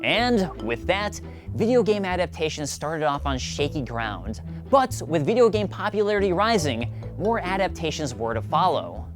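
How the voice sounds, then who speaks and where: Lively male video essay narrator over music. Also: a little high, normal speed. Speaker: video host. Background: background music.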